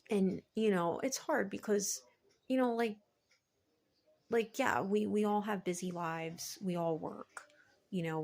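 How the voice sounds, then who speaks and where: A woman with a lowish, gravelly voice full of vocal fry talks casually to her viewers. She sounds worn out and a little hoarse, and she meanders hesitantly through her thoughts with lots of filler phrases. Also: darkish tone. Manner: hesitant, meandering, heavy use of "like" and "you know". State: tired, voice cracking and slightly hoarse. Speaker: vlogger and online reseller. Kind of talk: casual rambling chat to viewers.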